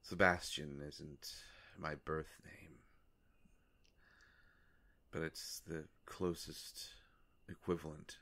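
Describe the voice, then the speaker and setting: A man plays an ancient, courtly vampire in an intimate audio roleplay. The voice sits very low with a heavy creak. He speaks very slowly and formally, with melancholy, hushed hesitations. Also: fairly even intonation, heavily acted. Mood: wistful, solemn. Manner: formal, halting, hushed, measured phrasing.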